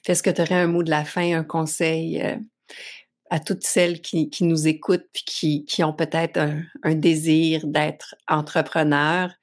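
A woman's voice: low voice, little pitch movement, measured pace. A woman hosting a podcast interview, encouraging her guest with brief interjections. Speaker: podcast host.

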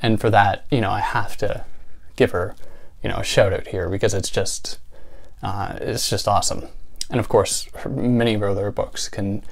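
Soothing, low-voiced young man chatting. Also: normal speed, gravelly. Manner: relaxed, thoughtful, many fillers and restarts. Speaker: book channel host. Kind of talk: casual vlog talk.

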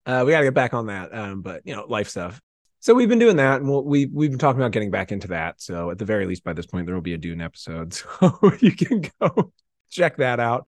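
Animated man chatting casually on a podcast. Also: mid-range voice, quick pace, no room sound. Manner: animated, swearing, rambling. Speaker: podcast guest. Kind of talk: casual comedic chat.

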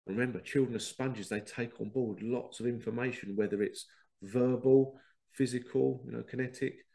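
A man's voice: medium pitch, fairly even intonation, normal speed, vocal fry, mellow. A man with a head cold and a congested voice, hosting a rambling spiritual talk and often acting out quoted exchanges. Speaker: podcast host. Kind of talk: casual spiritual monologue.

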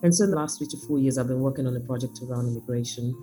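Woman on the phone, mellow dark voice, faint music under. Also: very deep voice, rapid-fire. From Nigeria. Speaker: interview guest, artist. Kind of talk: phone interview.

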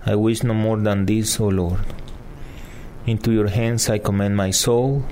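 A man in his fifties from El Salvador, a native Spanish speaker with a Salvadoran Spanish accent, speaking as a priest guest in an interview, halting and searching for words. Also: on the low side, warm tone, slight background noise, low-quality recording. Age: 50s. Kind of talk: conversational interview and spoken prayer.

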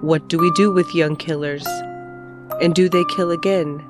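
A woman's voice: low voice, steady tone, moderate pace. A woman reading a scripted true-crime podcast promo as its host, with chiming music behind her.

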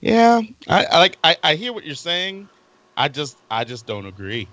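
A man with a sharp, bright voice talks through an argument in a casual chat. He restarts and hunts for words as he goes. The recording sounds muffled and lo-fi. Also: on the high side, big pitch swings, no room sound. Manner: halting, lays out arguments step by step. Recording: dull lo-fi recording.

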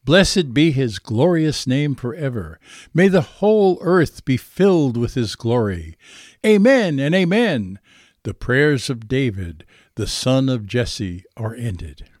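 Slow, expressive male scripture reader. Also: medium pitch, no echo, clean studio sound. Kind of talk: solemn recitation of a psalm.

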